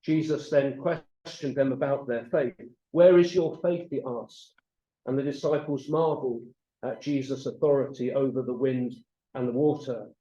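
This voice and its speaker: A man delivers a sermon in a measured, explanatory storytelling manner. His voice is mellow and a little high for a man. The room echoes around him and the recording sounds slightly dull. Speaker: preacher. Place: church meeting room, also streamed online.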